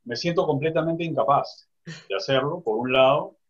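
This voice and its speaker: A man's voice: on the high side, moderate pace, husky, highs cut off. A man talking reflectively in a panel discussion over a video call, often restarting his phrases. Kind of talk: casual discussion.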